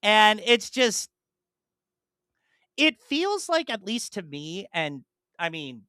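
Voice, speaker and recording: A podcast host chatting casually in an animated, rambling way, slipping into imagined dialogue. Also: mid-range voice, slow, smooth, no room sound, studio quality. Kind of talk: casual chat, enthusiastic monologue about films.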